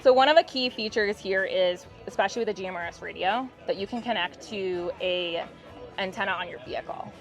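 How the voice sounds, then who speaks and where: A woman's voice: mid-range voice, brisk. A woman explains a product as a company representative, in an upbeat, informal, explanatory way. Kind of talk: explanatory product demo in an interview. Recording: muffled band-limited audio with music behind. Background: background music.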